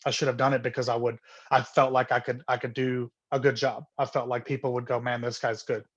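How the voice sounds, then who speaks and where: A middle-aged man from Honduras, guesting on a video call. He tells his story in a relaxed, earnest way, with many "um"s and repeated words. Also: mid-range voice, a little fast, slightly rough, dull audio. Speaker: guest singer. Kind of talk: casual interview storytelling.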